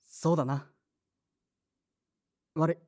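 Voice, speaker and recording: A woman's voice: low-pitched, steady tone, takes it slowly, a little mellow. A woman playing a brusque, moody teenage boy in an audio drama, with blunt, casual boyish speech. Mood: sullen, casual. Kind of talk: Japanese audio drama acting with inner narration.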